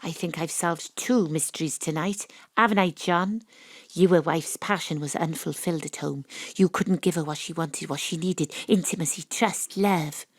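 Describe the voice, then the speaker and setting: A woman acting in an audio drama as a shrewd, theatrical amateur detective who narrates and probes deliberately. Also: on the low side, no room sound. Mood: accusing. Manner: deliberate, probing, storytelling. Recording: dry, close home-studio recording.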